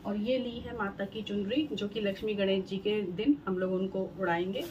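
A woman's voice: mid-range voice, fairly even intonation, moderate pace, a little mellow, dull audio. A woman in her thirties chatting casually to the camera in a home vlog, showing things one by one with many fillers. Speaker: home vlogger. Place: bright room at home, later a balcony. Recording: home phone recording with clear background noise.

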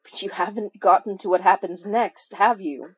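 An actress playing an anxious, earnest woman investigator, speaking haltingly in an audio drama. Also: mid-range voice, steady tone, breathy edge, dry recording, lightly acted. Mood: alarmed, urgent. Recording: dry, slightly dull close recording.

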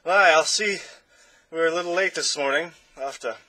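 A man's voice: fairly high pitch, moderate pace, bright, forceful tone, no echo, voice pushed up. A man voicing a fussy, punctual male conductor in a storybook, talking in a self-satisfied way.

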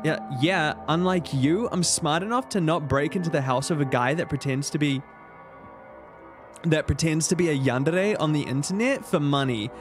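A man's voice: a little high, moderate pace, soft background music, pitched above the performer's own voice, natural voice. A man voicing a smug young friend in a fan story, dry and matter-of-fact.

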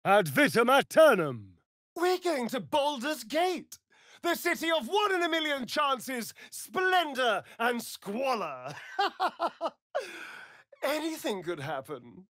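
A man's voice: very high-pitched, very animated, slow, fairly bright tone, dry recording, clean studio sound, exaggerated voice. An actor playing a flamboyant, seductive, sardonic male vampire rogue in a video game, with an arch, drawling, mocking delivery. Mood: incantatory, then exuberant. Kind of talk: theatrical video game character acting.

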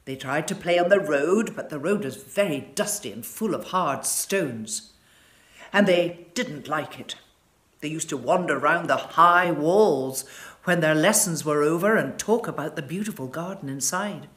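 Older woman storyteller, slow and very expressive. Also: deep voice. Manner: measured, dramatic. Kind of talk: expressive reading of a children's story. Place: furnished living room, indoors.

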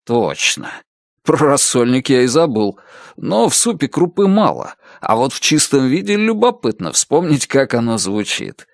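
A man's voice: medium pitch, sing-song, measured pace, vocal fry, no room sound, studio quality. A man voicing an easygoing husband who banters in a wry, joking way.

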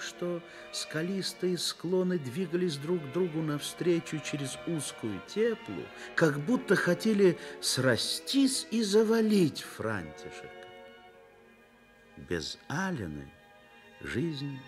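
A man reading scripted literary narration for a Soviet radio drama in an expressive, measured storytelling manner. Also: on the high side, over music.

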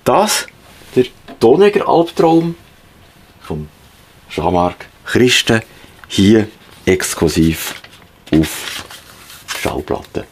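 Husky, deep older Swiss man. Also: wildly swooping pitch. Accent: Swiss German. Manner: halting, thoughtful. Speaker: presenter. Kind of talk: reflective spoken commentary. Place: small attic home studio.

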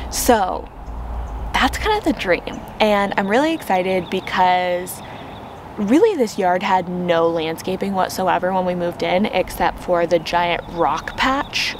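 A woman's voice: on the low side, noisy background. An American woman in her thirties chatting expressively and informally to camera as she gives a casual vlog tour outdoors. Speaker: gardening vlogger. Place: outdoors in a suburban backyard.

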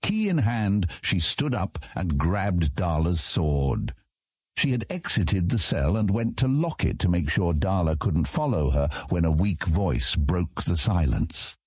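A man with a husky voice reading scripted fantasy story narration as an audiobook narrator. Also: deep voice, sing-song, unhurried, no echo, studio quality.

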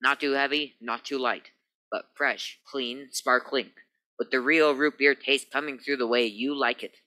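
Man as very bright vintage radio announcer. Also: mid-range voice, fairly even intonation, measured pace, dry recording, low-quality recording. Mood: upbeat. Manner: crisp, salesy, emphatic. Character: upbeat, polished 1940s radio announcer and pitchman. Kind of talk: 1940s radio announcing and advertising.

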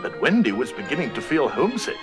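A man's voice: medium pitch, big pitch swings, fast talker, music in the background. A man reading a scripted children's story as narrator of a storybook record.

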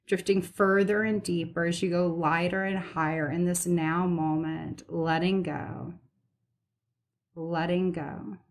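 A woman leading a guided meditation as its host, speaking soothingly and gently in repeated, unhurried phrases. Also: on the low side.

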